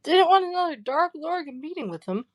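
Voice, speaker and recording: A young schoolchild in an audio play, acted by a woman, high-voiced and swooping with emphasis. The kid is gossipy and insistent, heard in a dry recording. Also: lively intonation, moderate pace, no echo. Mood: insistent, suspicious. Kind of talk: audio drama character acting.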